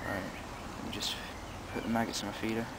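A man's voice: fairly low pitch, fast. A young man casually explaining his fishing setup as he goes, filmed outdoors by a pond on a handheld camera. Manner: relaxed, chatty, explains as he goes. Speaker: angler vlogger. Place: outdoors by a pond among trees. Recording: loud outdoor noise.

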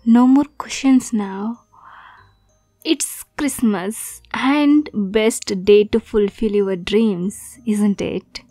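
A woman's voice: mid-range voice, mellow, dry recording, studio quality, faint music behind. A woman playing a young, obsessive yandere girlfriend kidnapper in an ASMR roleplay, cutesy and teasing with sweet menace. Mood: playful, possessive. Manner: slow, cutesy, teasing, breathy asides.

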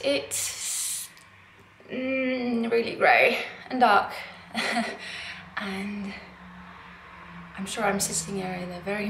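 A woman's voice: on the high side, slight background noise. A young Norwegian woman in her twenties, speaking English with a British-tinged accent, chatting to camera in a giggly, self-deprecating way as a knitwear designer. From Norway. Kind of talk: casual chat to camera. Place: dim living room, indoors. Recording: roomy living-room echo.

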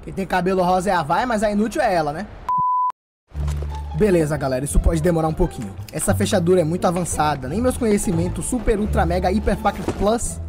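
A man's voice: high voice, fast, music in the background, natural voice. A man voicing a brash, grumbling, sarcastic male with slangy Brazilian speech in a comedic cartoon fan dub.

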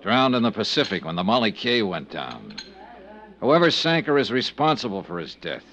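An actor playing a tough, persistent insurance investigator, probing and clipped, in 1950s radio drama style. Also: male voice, on the low side, sing-song, normal speed, a bit bright. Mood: probing, firm. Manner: probing, clipped, hard-boiled. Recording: dull, lo-fi 1950s radio recording.

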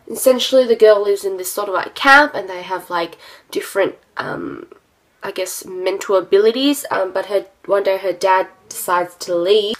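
A woman's voice: medium pitch, a bit bright. A young woman vlogger who is sick and sounds nasal, chatting casually about books with lots of ums and restarts, recorded by a desk camera in a small bedroom. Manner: rambling with many ums and self-corrections. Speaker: book vlogger. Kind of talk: casual chatty book haul.

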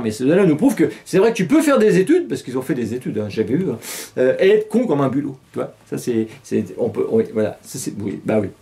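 Middle-aged male satirical host, mocking, chuckling, animated and fairly high-pitched. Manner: sarcastic, laughs often, animated gestures. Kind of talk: mocking casual commentary. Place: small studio with green-screen news set.

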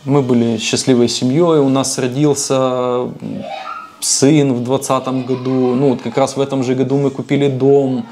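A man in his thirties, a husband and father, giving a calm, measured documentary interview on a couch at home with a lapel mic. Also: medium pitch, soft background music. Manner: calm, measured, with hesitant fillers. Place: living room couch, indoors.

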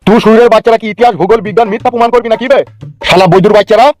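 Man as hot-headed, foul-mouthed cartoon uncle, very rapid high-pitched bluster over music. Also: steady tone, darkish tone, no room sound, close to an everyday voice. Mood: angry. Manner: blustering, crude slang, wheedling speeches.

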